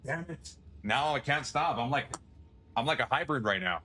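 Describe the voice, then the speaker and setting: A man's voice: medium pitch, expressive, normal speed, sharp tone. A male streamer chatting casually on a livestream, rambling, swearing and chuckling often.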